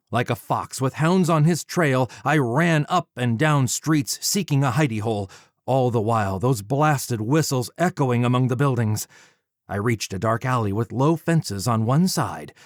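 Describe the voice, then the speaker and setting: A man narrating an audiobook from a script in a measured, formal way. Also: mid-range voice, dry recording, studio quality. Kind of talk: scripted first-person narration.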